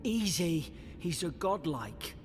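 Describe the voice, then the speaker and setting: A man's voice: fairly high pitch, big pitch swings, takes it slowly. A man voicing a wary, practical and loyal male travelling companion in a fantasy video game. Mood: firm, protective. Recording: long reverb, noisy game ambience.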